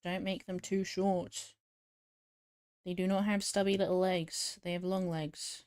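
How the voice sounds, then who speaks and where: A woman's voice: lowish voice, level tone, a little slow, a little mellow, dry recording. Giggly woman streamer, dry close sound. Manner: chatty, giggly, answering chat. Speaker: VTuber streamer. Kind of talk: casual chat with viewers while drawing.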